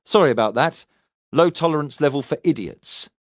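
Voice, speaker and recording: A man's voice: on the high side, expressive, moderate pace, no echo, close to an everyday voice. Man as a guarded, stubborn suspect, dry sound. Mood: defiant. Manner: terse, controlled, defiant. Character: guarded, stubborn Englishman, taxi driver under police questioning.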